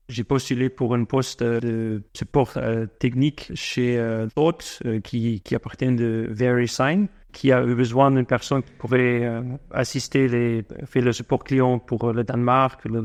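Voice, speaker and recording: A man in his forties who grew up in South Africa and speaks French as a second language, answering interview questions slowly with many fillers and restarts. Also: medium pitch. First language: not French. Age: 40s. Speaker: guest entrepreneur.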